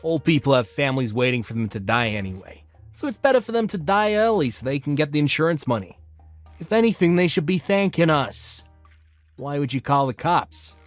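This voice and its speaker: A man's voice: fairly high pitch, lively intonation, fairly quick, dry recording, faint music behind, close to an everyday voice. A man playing a callous, defiant delinquent father in a comic dub.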